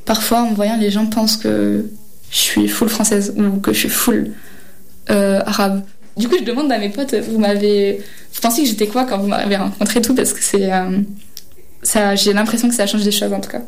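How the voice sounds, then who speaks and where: A woman's voice: medium pitch, steady tone, normal speed, darkish tone. A teenage girl speaking reflectively in an interview, hesitating often with fillers as she gathers her thoughts. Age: teens. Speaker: high school student interviewee. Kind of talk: reflective testimony.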